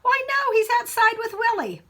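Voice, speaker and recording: Woman as a very high, sing-song storybook mother. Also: big pitch swings, normal speed, pushed and bright, heavily acted. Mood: playful. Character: young mother playing along with her small son. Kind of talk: picture-book read-aloud.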